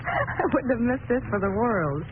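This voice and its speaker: A woman's voice: a little high, wildly swooping pitch, a little slow. A woman playing a witty, teasing society companion to a sleuth, with arch banter, in 1940s radio drama. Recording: noisy, phone-like vintage radio recording.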